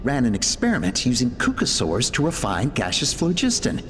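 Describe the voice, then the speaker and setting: A man's voice: medium pitch, huge pitch swings, moderate pace, fairly bright tone, a little hiss or hum. A man playing an earnest, driven inventor declaiming his research logs in a video game, voice in reverb. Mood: determined. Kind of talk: scripted video game monologue. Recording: long reverb, faint music behind.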